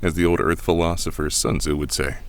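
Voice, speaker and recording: Man playing a very deep-voiced, thoughtful crewman. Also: little pitch movement, a little fast. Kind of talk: audio drama acting.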